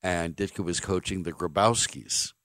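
A man with a fairly low voice hosts a sports talk show from a dry studio. He is opinionated and blunt, leaning on repeated phrases to drive each point home. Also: normal speed, a bit bright, dry recording. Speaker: sports radio host.

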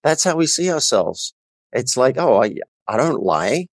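A man's voice: medium pitch, very animated, a little slow, dry recording, clean studio sound. A middle-aged man with an Australian accent, telling his personal story earnestly and emphatically as a coach guesting on a podcast.

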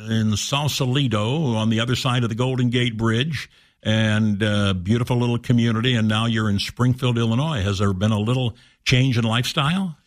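A man's voice: medium pitch, moderate pace. A man hosting a radio interview, asking folksy, rambling questions and correcting himself as he goes. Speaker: radio talk show host.

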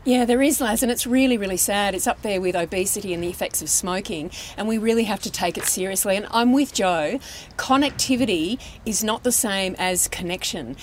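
A woman's voice: mid-range voice, fairly quick, slight background noise. A woman speaking earnestly and emphatically as a panellist in a discussion. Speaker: radio panellist.

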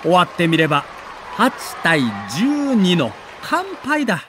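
Male documentary narrator, moderately high pitch, dramatic and emotive scripted read as a reporter, faint background noise. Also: sing-song, unhurried, a bit bright, some chatter behind.

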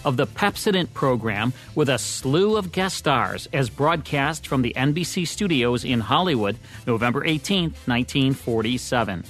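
An American male presenter speaks at an average pitch with measured, polished delivery. He reads scripted history as a podcast co-host, with faint music in the recording. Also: lively intonation, fairly bright tone. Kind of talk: scripted radio history narration.

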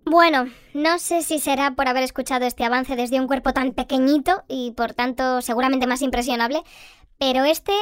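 Shrunken radio presenter female actor: tiny, squeaky, very high and clean, excitable, close dry sound. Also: moderate pace, clean tone, no room sound, close to an everyday voice. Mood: moved, excited.

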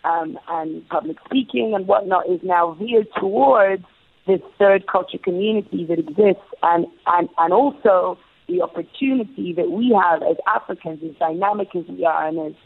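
A woman's voice: lowish voice, moderate pace, creaky, husky. A British-raised woman speaking as an interview guest, reflective and thoughtful, often restarting and repeating words as she thinks. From United Kingdom. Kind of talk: casual interview talk. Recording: phone-like narrow sound.

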